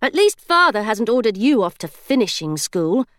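Lady doing a spunky stubborn girl, kinda high, bouncy. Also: very animated, normal speed, no room sound, studio quality. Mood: wry. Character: spirited, stubborn young girl.

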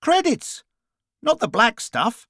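A man's voice: very high voice, huge pitch swings, takes it slowly, sharp tone, dry recording, clean studio sound, voice pushed up, full character voice. A man voicing a brash, impatient Australian businesswoman in an audiobook, abrupt and exasperated. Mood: insistent. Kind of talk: audiobook character dialogue.

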